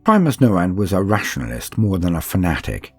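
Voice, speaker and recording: Deep, husky man narrating calmly. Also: no echo, faint music behind. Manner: calm, steady, measured storytelling. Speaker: narrator reading a campaign book. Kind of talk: scripted narration of war lore; calm sleep-aid reading.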